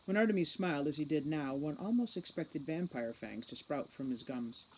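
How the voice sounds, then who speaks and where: A woman reading a children's novel aloud as an expressive narrator. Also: low voice, moderate pace, slight background noise, low-quality recording. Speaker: storybook reader.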